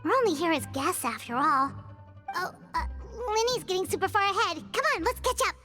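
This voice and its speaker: A woman voicing a small, excitable fairy companion in a game, bubbly and quick to exclaim, referring to herself by name. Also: very high-pitched, full character voice. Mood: chatty. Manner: bubbly, exclaims often, speaks of herself in third person. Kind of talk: game dialogue. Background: game music.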